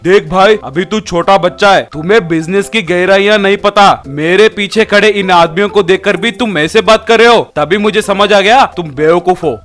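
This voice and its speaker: A man's voice: high voice, normal speed, hardly any pauses, a bit bright, soft background music. An actor voicing an arrogant, pompous rich male boss who talks down to people in a comic story. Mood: condescending. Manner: pompous, patronizing. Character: arrogant rich car-business boss, male, condescending bully. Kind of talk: acted dialogue in a comic moral story.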